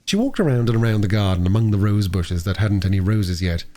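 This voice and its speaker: A man's voice: on the low side, lively intonation, fast, dark tone. A man reading a storybook aloud as a narrator. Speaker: audiobook reader.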